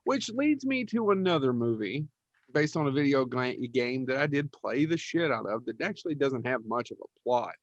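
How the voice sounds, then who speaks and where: A man's voice: mid-range voice, lively intonation, moderate pace, no echo, dull audio. A man co-hosting a podcast, chatting casually about films in a blunt, wry, sometimes profane way. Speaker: podcast co-host. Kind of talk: casual film chat.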